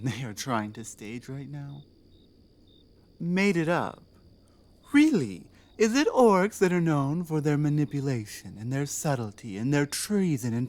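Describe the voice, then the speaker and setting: A woman as a low-voiced, imperious orc queen. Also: darkish tone, heavily acted. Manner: imperious, sardonic, dropping into murmurs and whispers. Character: commanding, distrustful, teasing orc queen holding a prisoner. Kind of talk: ASMR roleplay, addressing the listener.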